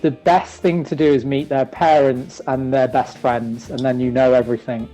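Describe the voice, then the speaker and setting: A man's voice: medium pitch, level tone, normal speed, mellow, faint music behind, rough recording. A British man chatting casually as an interview guest, thinking aloud and qualifying what he says as he goes. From Manchester, UK. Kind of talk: casual chat.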